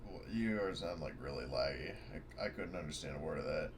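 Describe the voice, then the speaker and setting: Low voiced guy on a really noisy call, voice bounces around a lot. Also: expressive, brisk. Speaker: player. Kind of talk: casual game chat. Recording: loud noisy online call audio.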